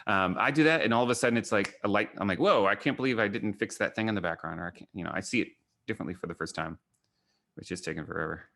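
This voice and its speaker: Deep-voiced, bright American man, rambling storyteller. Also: fast, gravelly. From Kentucky, United States. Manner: rambling, anecdotal, quotes people often. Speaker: photographer and artist giving a gallery talk. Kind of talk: informal online talk, answering questions. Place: video call.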